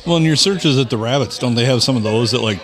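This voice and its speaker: A man's voice: mid-range voice, fast, a bit bright. A man co-hosting a casual podcast, making goofy, self-deprecating jokes. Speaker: podcast co-host. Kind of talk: casual banter.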